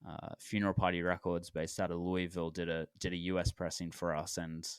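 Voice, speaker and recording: A deep-voiced man in his late twenties from Australia, chatting as a guest on a podcast. His voice is smooth and resonant, and the sound is close and dry with no room around it. He rambles easily, trailing off and restarting his sentences. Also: a little fast, no echo. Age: 20s. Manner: relaxed and rambling, with many fillers and restarts. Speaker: musician guest. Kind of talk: casual podcast interview.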